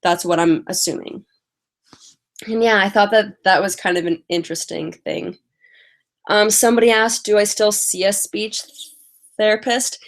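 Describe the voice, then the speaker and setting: A woman's voice: mid-range voice, steady tone, normal speed, pauses often. A young woman vlogger chatting casually on a live stream, stuttering and repeating the first sounds of words.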